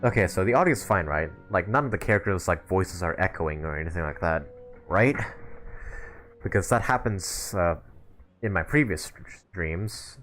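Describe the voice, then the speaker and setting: A man's voice: low-pitched, lively intonation, moderate pace, a bit bright. A man streaming a video game live, chatting casually and often rephrasing himself as he comments. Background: game music.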